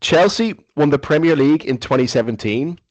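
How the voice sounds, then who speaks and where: A man hosting a casual football quiz on a podcast. He reads the questions slowly and fills pauses with ums and uhs. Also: a little high, expressive, dry recording, lo-fi sound. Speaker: quiz host.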